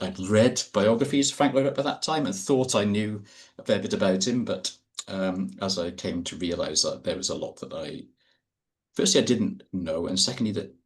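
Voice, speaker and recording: Guy, really low voice, reading his talk over a zoom call, steady with a few ums. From Wales. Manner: measured, hesitant with ums before settling into reading. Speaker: architect giving a talk. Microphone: video call.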